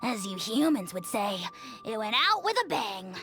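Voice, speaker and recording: Game creature: haughty sarcastic beast, bright and sharp. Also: female voice, fairly high pitch, big pitch swings, moderate pace, faint music behind. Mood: sardonic. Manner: sardonic, lofty. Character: snarky haughty non-human creature companion. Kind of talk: video game voice acting.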